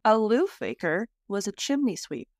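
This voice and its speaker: A woman's voice: medium pitch, big pitch swings, moderate pace, no room sound, studio quality. A woman hosting a podcast in a casual, chatty style. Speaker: podcast host. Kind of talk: casual chat.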